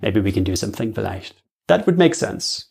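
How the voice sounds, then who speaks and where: Guy with a creaky voice chatting on a podcast, english native, drops bits of halting german in. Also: on the low side, very animated. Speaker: podcast guest, audio drama creator. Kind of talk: casual interview chat.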